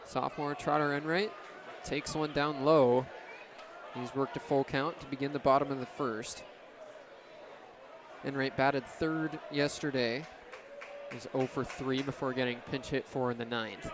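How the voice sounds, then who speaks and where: A man's voice: medium pitch, steady tone, measured pace. A man in his twenties doing live baseball play-by-play on the radio in clipped, descriptive phrases. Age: 20s. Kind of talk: live sports commentary. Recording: crowd and outdoor ballpark noise behind. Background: ballpark crowd.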